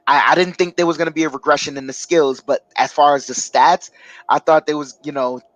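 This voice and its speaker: A man joins a casual sports chat, choosing his words haltingly with many fillers and restarts. The sound is dry, with no room echo. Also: fairly high pitch, steady tone, a bit bright, highs cut off.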